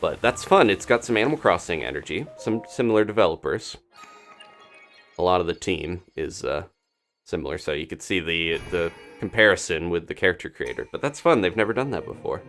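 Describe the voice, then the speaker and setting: A man's voice: low voice, expressive, fairly quick, a bit bright, faint music behind. A man doing live gameplay commentary as a streamer, chatting casually and thinking aloud in a rambling way. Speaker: video game streamer.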